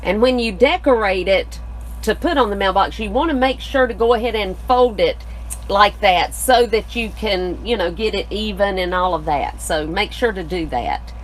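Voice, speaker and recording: A woman's voice: medium pitch, normal speed, slight background noise, rough recording. A middle-aged woman co-hosting a live craft tutorial in an indoor studio, affirming her partner and explaining steps plainly. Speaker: co-host, craft designer.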